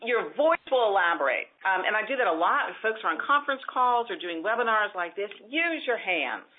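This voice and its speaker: A woman presentation coach giving lively, emphatic advice to a caller. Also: mid-range voice, a little fast. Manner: lively, emphatic, gives examples. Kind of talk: call-in advice show. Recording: tinny phone line.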